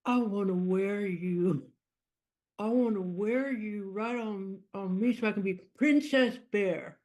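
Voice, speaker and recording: A woman's voice: mid-range voice, takes it slowly, darkish tone, lightly acted. A woman in an improv scene playing a female teddy bear who longs to be bought, talking in a dramatic, fond way. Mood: longing. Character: wistful unsold female teddy bear, longing.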